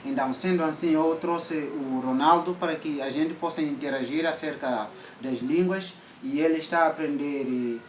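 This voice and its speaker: A man's voice: fairly high pitch, normal speed, few pauses, reverberant, distant mic, a little hiss or hum. A man from Mozambique with a central Mozambican accent, hosting a casual vlog chat and switching between English and Portuguese with many fillers. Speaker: vlog host.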